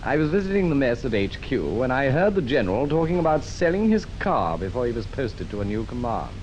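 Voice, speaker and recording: A cocky male conman played by an actor tells of his swindles in an old radio drama. He is breezy, insinuating and boastful, with a very animated middle-pitched voice. The recording carries slight noise. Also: fairly quick, lightly acted. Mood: breezy reminiscing. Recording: old radio recording, faint noisy rumble.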